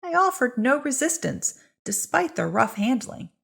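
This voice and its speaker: A woman's voice: sits high, very animated, unhurried, creaky, fairly bright tone, slightly rough, pitched above the performer's own voice, heavily acted. A woman voicing a fierce, indignant accused witch with archaic, rhetorical speech in a storybook reading.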